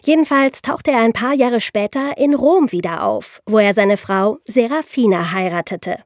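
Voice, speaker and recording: A woman's voice: a little high, animated, moderate pace, dry recording, studio quality. A woman co-hosting a podcast, telling history in a conversational, explanatory way with informal asides. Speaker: podcast co-host.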